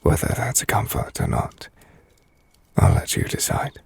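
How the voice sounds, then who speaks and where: A man playing a brooding, gentle, melancholy vampire-blooded castle lord in an intimate ASMR audio roleplay, hushed and husky, speaking slowly in measured, formal phrases. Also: lightly acted. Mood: quietly reassuring. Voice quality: gravelly, husky, breathy.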